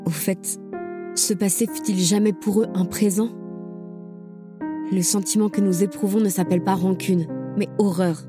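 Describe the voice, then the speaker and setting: A woman's voice: medium pitch, fairly even intonation, moderate pace, natural voice. A woman playing a resolute camp survivor. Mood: solemn. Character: female camp survivor, resolute, urging remembrance. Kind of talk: audio drama acting. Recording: music playing behind the voice.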